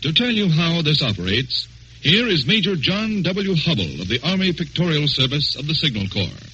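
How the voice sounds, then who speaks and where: A man's voice: a little high, big pitch swings, moderate pace, sharp tone, slightly rough, highs cut off. A man with an American accent announcing a scripted wartime radio program in a formal, declamatory style of the 1940s. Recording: vintage 1940s radio broadcast with music.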